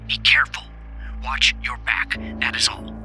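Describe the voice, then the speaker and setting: Sharp, forceful-toned nervous male tipster played by an actor. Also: mid-range voice, little pitch movement, a little slow, faint music behind. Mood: nervous, secretive. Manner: hesitant, secretive. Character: nervous anonymous male tipster leaving cryptic warnings. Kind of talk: voicemail message in an audio drama.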